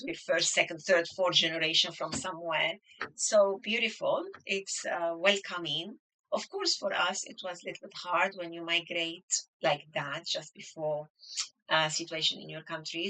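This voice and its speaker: Bright-toned Lebanese-raised woman in her sixties. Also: lowish voice, fairly even intonation, moderate pace, low-quality recording. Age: 60s. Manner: warm storytelling with frequent restarts and fillers. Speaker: guest. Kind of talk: conversational interview.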